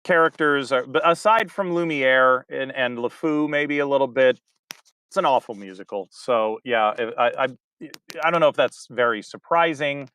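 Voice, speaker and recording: Chatty man with a smooth, clear voice. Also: mid-range voice, normal speed, sharp tone. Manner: rambling, self-correcting, laughs easily. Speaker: community theater director, guest. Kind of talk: casual interview chat.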